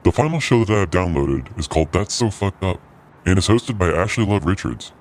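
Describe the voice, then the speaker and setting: A man's voice: low-pitched, animated, fairly quick. A man voicing a holiday cryptid who acts as a podcast guide, in scripted hosting narration. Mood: eerily genial. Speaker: host.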